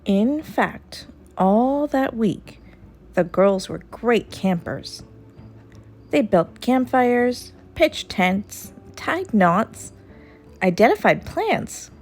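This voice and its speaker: A woman reading a children's story aloud as an expressive storyteller. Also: fairly high pitch, extremely slow. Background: soft music.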